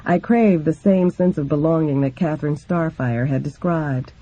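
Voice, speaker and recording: A man narrates a memoir from the page. His voice sits a little high for a male but is very mellow in tone. The audio is somewhat dull and lo-fi. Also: moderate pace. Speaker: reader of a book. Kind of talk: scripted narration, reading memoir aloud.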